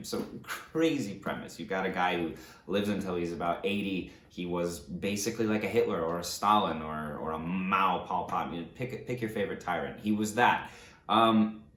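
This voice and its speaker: A man's voice: low voice, moderate pace, a bit bright. A man talking casually to his viewers in a rambling, hesitant way, with a jokey, self-deprecating manner. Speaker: actor presenting a book reading video. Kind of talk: casual, rambling talk to camera. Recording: roomy, echoing indoor sound.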